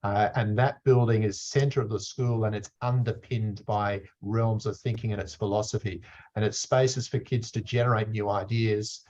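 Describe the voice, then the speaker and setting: A man's voice: on the low side, fairly even intonation, moderate pace, darkish tone. A man who leads a school, speaking enthusiastically about new plans in a video call discussion. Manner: enthusiastic, promotional. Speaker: school leader. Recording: dull lo-fi video call audio.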